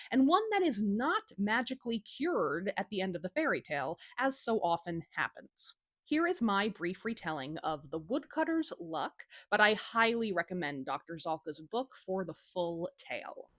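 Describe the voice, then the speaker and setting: A woman tells a folktale with very lively, swooping pitch and an informal, cheeky delivery. Her voice is recorded close and dry, with little room around it. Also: normal speed, dry recording. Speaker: storyteller, host. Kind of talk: casual scripted folktale retelling.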